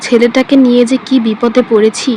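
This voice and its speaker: A woman's voice: a little high, flat delivery, a little fast, mellow, slight background noise, close to an everyday voice, low-quality recording. A woman voicing a worried, weary, middle-aged village mother in a cartoon story, with a plaintive, motherly manner. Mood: anxious. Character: worried, weary village mother fretting over her son.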